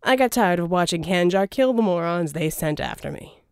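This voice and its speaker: A woman's voice: lowish voice, expressive, moderate pace, smooth, no room sound, studio quality. Woman performing a flamboyant scheming male villain, wildly swooping. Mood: impatient, scheming. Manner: theatrical, wheedling, pompous. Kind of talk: audiobook character dialogue.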